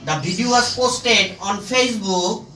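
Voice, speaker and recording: A teacher giving a step-by-step grammar lesson in short, halting phrases, asking and answering their own questions, recorded from a distance in an echoey room. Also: medium pitch, bright, forceful tone, faint music behind. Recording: echoey room, far from the mic.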